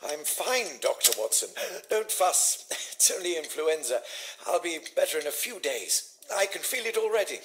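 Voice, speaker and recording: An actor playing an ailing, earnest Victorian philanthropist lord in a video game, genteel and halting, with a British accent. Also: male voice, a little high, sing-song, fairly bright tone. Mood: flustered, dismissive. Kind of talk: scripted video game dialogue acting.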